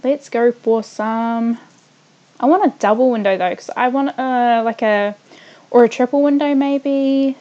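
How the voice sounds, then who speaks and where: A young woman with a mild New Zealand accent talks casually through a home gaming stream. Her voice sits a touch high, and she wanders between thoughts, leaves sentences unfinished, and fills gaps with hums and little noises. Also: unhurried, mellow, lo-fi sound. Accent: New Zealand, slightly softened by Australia. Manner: rambling, scattered, trails off mid-sentence; hums and makes small random noises while working. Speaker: gaming livestreamer. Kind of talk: casual livestream chat while building in a game.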